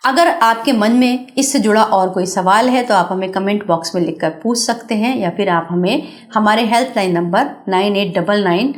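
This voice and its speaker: A woman's voice: medium pitch, normal speed. An Indian woman presenter giving a scripted explanatory narration, instructive and speaking directly to the viewer.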